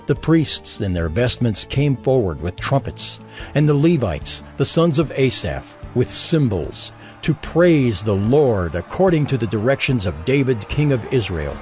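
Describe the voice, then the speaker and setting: A man narrates scripture in a solemn, expressive way. His pitch moves a lot as he reads. Soft music plays beneath his voice. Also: unhurried.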